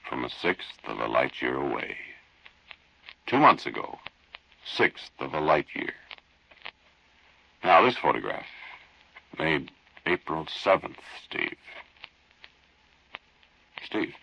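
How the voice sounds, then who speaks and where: A man's voice: very deep voice, sing-song, takes it slowly, creaky, pauses often, sharp tone, slightly rough, exaggerated voice, poor audio. An actor playing a grave, insistent man who warns of an alien invasion in an old radio drama. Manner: intense, insistent, ominous. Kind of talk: 1940s radio drama acting.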